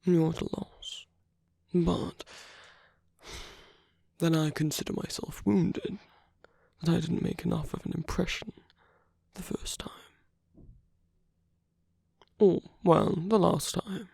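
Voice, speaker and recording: Man acting a slow, breathy, suave villain. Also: high voice, fairly even intonation, no echo, exaggerated voice. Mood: teasing, seductive. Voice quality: breathy, soft, often near-whispered. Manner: languid, teasing, polite with veiled menace. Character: suave sly aristocratic villain, flirting and secretly scheming at a masquerade. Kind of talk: ASMR roleplay, intimate scripted acting.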